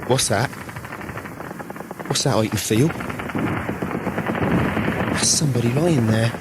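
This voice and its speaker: An actor playing a wounded young soldier and morris dancer of the First World War in a radio play, earthy, sweary and bewildered. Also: male voice, medium pitch, lightly acted. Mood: anxious, searching. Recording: noisy radio drama with battle sounds.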